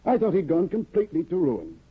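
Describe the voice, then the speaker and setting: A man's voice: sits high, wildly swooping pitch, fast, breathy edge, heavily acted. A man playing a fussy, anxious young scholar husband in a 1950s radio drama, stammering and eager. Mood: flustered. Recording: tinny old radio broadcast.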